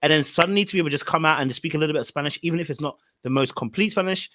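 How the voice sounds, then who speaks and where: A man's voice: on the high side, racing, fairly bright tone, no echo, clean studio sound. A young man from Ghana hosting a casual podcast about a reality dating show, chatty and laid-back, laughing easily. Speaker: podcast host.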